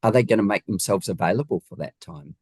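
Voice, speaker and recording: Deep-voiced New Zealand man, emphatic talker. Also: quick pace, a little mellow, slightly rough, no room sound, clean studio sound. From Wellington region, New Zealand. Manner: emphatic, illustrates points with rhetorical questions. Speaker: guest, home designer. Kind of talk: conversational interview answers.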